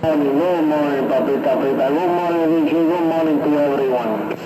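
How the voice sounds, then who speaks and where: A man's voice: fairly high pitch, moderate pace. A man on a CB radio speaking hesitantly with many fillers. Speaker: CB radio operator. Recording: heavy static on a radio channel.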